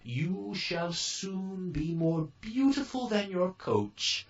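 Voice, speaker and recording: Man voicing a high, slow-talking old fairy godmother. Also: big pitch swings, reverberant, distant mic, muffled recording, heavily acted. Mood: reassuring. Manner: slow, drawn-out, deliberate delivery.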